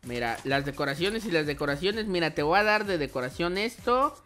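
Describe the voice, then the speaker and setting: A young man hosts casual gaming commentary in a bright, lively-edged voice. He is chatty and playful and often addresses his viewers directly. Faint music plays behind him. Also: on the high side, fairly quick. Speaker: host of a gaming channel.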